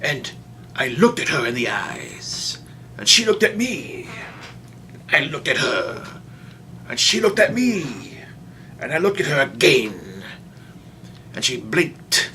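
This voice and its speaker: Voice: medium pitch, big pitch swings, sharp tone, a little hiss or hum, exaggerated voice. A performer voicing a doddering, folksy old man who rambles and stammers through a comic storytime monologue. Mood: dramatic, earnest. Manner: rambling, halting, stammering old-timer delivery. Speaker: storyteller, show host.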